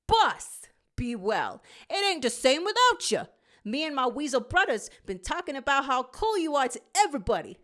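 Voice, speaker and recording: A woman's voice: high voice, big pitch swings, normal speed, a bit bright, voice pushed up. A woman voicing a brash, loyal weasel henchman with punchy, slangy delivery in a cartoon-style fan story. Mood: brash, admiring.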